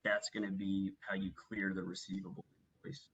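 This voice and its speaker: A man working methodically through a software walkthrough as a webinar trainer, explaining each step. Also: low voice, completely flat delivery, fairly quick, lo-fi sound, slight background noise. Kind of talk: instructional software walkthrough, semi-scripted presentation.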